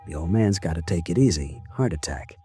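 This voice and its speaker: A man's voice: sits low, lively intonation, a little fast, mellow. An actor playing a weary, bitter henchman of a tycoon, resigned and cynical, in 1950s radio drama style. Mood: guarded, explanatory. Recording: restored old radio broadcast, faint music.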